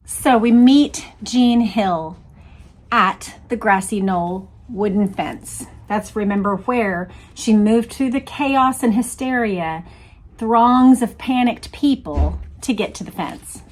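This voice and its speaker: A woman host talking through material and reading aloud in a deliberate, emphatic, explanatory way. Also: mid-range voice. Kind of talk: explanatory talk and dramatic read-aloud.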